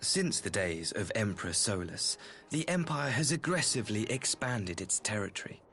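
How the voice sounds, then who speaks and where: Courtly, animated imperial envoy. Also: male voice, lowish voice, normal speed. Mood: measured, persuasive. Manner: formal, ornate, diplomatic. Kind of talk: scripted video game voice acting. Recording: music behind the voice.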